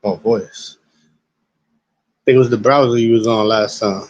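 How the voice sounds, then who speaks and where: A man with a raspy smoker's voice, rambling casually and profanely to his live-stream chat while high. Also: steady tone, moderate pace, darkish tone, no echo. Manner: laid-back, rambling, profane. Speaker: livestreamer. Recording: dull lo-fi stream audio.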